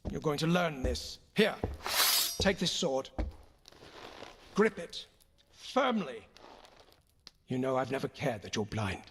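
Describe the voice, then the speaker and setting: An actor playing a royal uncle and sword instructor in an audio game, firm, formal and encouraging. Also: male voice, medium pitch, big pitch swings, slow, long pauses, a little hiss or hum. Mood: stern.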